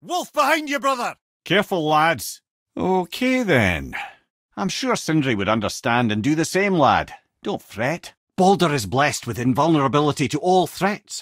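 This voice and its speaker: An actor playing a witty, learned old companion in a video game, with a Scottish accent, a husky voice and a wry, eloquent, theatrical way of talking. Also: male voice, medium pitch, a bit bright, dry recording, clean studio sound. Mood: urgent warning, then solemn storytelling. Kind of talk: video game character dialogue, lore storytelling.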